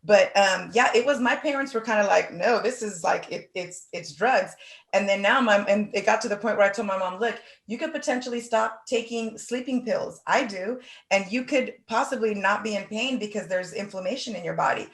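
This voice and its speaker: Animated woman host in a roomy room. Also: mid-range voice, moderate pace. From Oakland, California. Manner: animated, talks in long runs with fillers like "like". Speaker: podcast host. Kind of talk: casual conversation. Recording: roomy echo.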